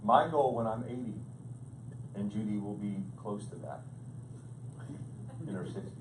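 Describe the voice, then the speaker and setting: A male pastor preaching a humorous sermon, telling jokes in a playful, animated way. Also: fairly low pitch, measured pace. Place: large church hall. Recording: echoey hall, distant mic, background noise.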